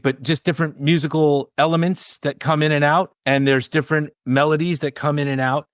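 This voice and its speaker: A man's voice: medium pitch, no echo, clean studio sound. Enthusiastic male music interviewer. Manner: enthusiastic, complimentary. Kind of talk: casual interview.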